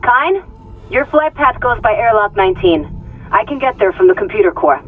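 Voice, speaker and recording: A woman's voice: mid-range voice, animated, normal speed, faint music behind, close to an everyday voice. An actress playing a tense, wary female computer specialist in a sci-fi video game. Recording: lo-fi radio transmission.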